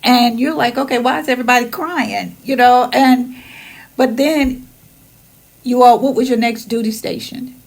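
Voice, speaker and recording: Woman, podcast host, fairly high voice, encouraging interviewer. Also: moderate pace, gravelly. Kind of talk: casual interview chat.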